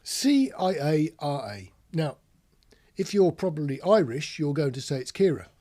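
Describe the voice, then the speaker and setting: A man's voice: a little high, big pitch swings, a little slow, warm tone. A British man giving an informal instructional monologue as a host, chatting through examples and tossing in rhetorical questions. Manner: conversational, rhetorical tag questions, spells out words. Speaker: podcast host, radio instructor.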